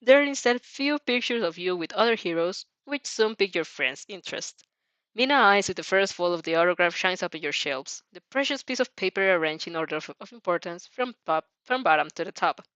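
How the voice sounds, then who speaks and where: A woman's voice: fairly low pitch, expressive, a little fast, a bit bright, no room sound, lo-fi sound. A woman reading fan fiction as an amateur, narrating expressively and sometimes stumbling over or repeating words. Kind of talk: expressive story narration with character voices.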